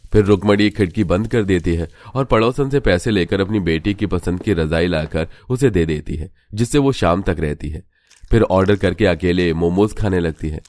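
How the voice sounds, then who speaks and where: A man's voice: low-pitched, moderate pace, darkish tone, dry recording. A man narrating a scripted cartoon story.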